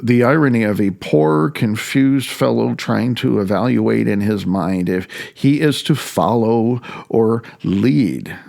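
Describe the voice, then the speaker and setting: A man reading his own first-person audiobook as its author, in a halting, wry and self-deprecating way, stumbling over words now and then. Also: mid-range voice, vocal fry, a little mellow.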